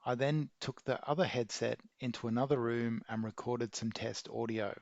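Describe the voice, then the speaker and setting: Gravelly man narrating a how-to. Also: medium pitch, fairly even intonation, moderate pace, a little mellow, no echo, highs cut off. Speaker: video maker giving an installation tutorial.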